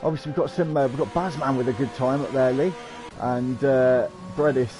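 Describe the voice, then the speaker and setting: A man's voice: mid-range voice, steady tone, brisk, over music. A man hosting and commentating an online racing league, chatty and joking, with plenty of "uh" fillers as he introduces the drivers. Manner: chatty, lots of uh fillers, jokey. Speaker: league host and lead commentator.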